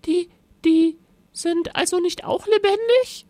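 Woman as a high-voiced plucky storybook girl. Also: slow, no echo. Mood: tearful, pleading. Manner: exclaiming, pleading, crying out. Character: plucky young girl, high-voiced, easily irritated, frightened but defiant.

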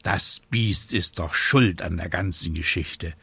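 A man's voice: deep voice, takes it slowly, fairly bright tone, breathy edge, no room sound, full character voice. A man voicing a stubborn, grumbling little witch in a storybook, with emphatic, vengeful delivery. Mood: vengeful, indignant.

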